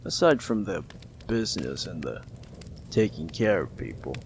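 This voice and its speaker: Man as possessive mob boss, gravelly, slow, animated pitch, cold whispered menace, roleplay audio. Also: a little hiss or hum, exaggerated voice. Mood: coaxing. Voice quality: gravelly, often dropping to a whisper. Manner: slow, taunting, coldly affectionate.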